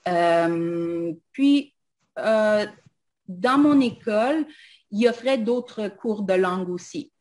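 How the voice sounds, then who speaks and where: Slow, halting woman. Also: mid-range voice, a little mellow. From Montreal, Canada. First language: English. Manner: hesitant, many "euh" fillers, switches into English. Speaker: invited guest speaker. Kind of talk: casual personal talk.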